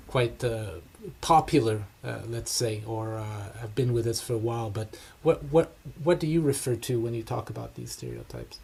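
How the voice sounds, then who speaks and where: A man hosting a podcast, asking long questions haltingly with many "uh"s and repeated words. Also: medium pitch, a little mellow, breathy edge. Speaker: podcast host. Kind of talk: interview questions.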